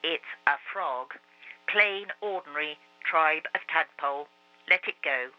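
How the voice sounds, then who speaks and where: Streetwise talking bat, peevish and lazy, played by the reader; lower, drawling; lo-fi recording. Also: male voice, slow. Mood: dismissive. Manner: slangy tough-guy drawl, calls everyone kiddo. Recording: dull lo-fi radio recording.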